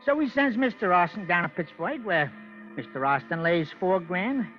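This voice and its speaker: An actor playing a nervous, wheedling small-time hustler in an old radio crime drama, stammering and putting on genteel airs. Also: male voice, sits high, expressive, normal speed. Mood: eager, chatty. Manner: stammering, hesitant, mock-genteel. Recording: muffled old radio recording, music behind.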